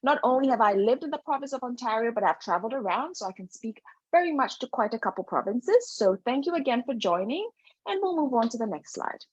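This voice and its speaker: A young adult woman from Ghana, a student advisor, introducing herself in a webinar in measured, formal phrasing. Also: a little high, expressive, breathy edge, dry recording. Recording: dull, dry lo-fi mic.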